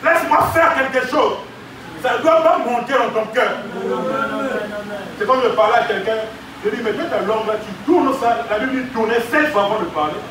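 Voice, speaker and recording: Male preacher, very high bright voice, heard from a distance in a booming, echoey church hall. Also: noisy background. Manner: preaching with call-and-response, drawn-out emphasis. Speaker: pastor. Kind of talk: sermon.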